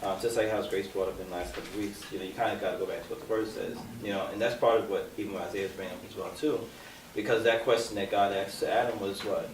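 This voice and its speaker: A man with an average-pitched voice who talks conversationally and keeps saying "you know". He sounds distant in an echoey room with faint noise behind him. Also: fairly even intonation, fast talker. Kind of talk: casual group discussion.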